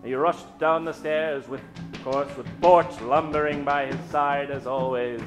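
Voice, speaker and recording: A man hosting and narrating an old-time radio show theatrically, with dramatic pauses. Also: on the high side, fairly even intonation, music in the background. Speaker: host and narrator.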